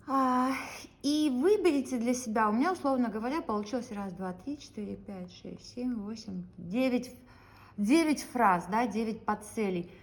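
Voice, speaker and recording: A woman's voice: on the high side, a little mellow. A woman hosting her own channel who leads viewers through a goal-setting practice, speaking to them directly with frequent hesitant fillers. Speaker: YouTube channel host.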